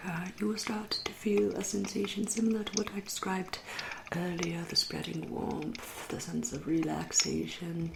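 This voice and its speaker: A woman with an English accent playing a seductive, wry, refined female vampire in a soft, whispered ASMR roleplay, speaking slowly and formally. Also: fairly low pitch, background noise. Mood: intimate, wry. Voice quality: soft, breathy, often whispering. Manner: slow, lingering, genteel, archly formal. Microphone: close ASMR microphone.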